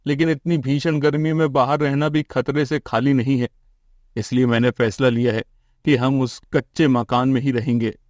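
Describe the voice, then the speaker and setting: A man's voice: medium pitch, flat delivery, moderate pace, a little mellow, no room sound, studio quality, close to an everyday voice. Man playing a plaintive poor family man. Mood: worried, resigned. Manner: earnest, plaintive. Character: poor, distressed, pleading young family man. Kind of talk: Hindi cartoon moral story.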